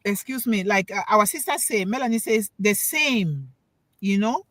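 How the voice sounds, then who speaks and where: A woman's voice: mid-range voice, animated, normal speed, a bit bright, dry recording, clean studio sound. A woman speaking on a talk show panel as a parent, giving an emphatic, preachy talk that repeats key words for stress and puts questions to her listeners. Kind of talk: motivational talk.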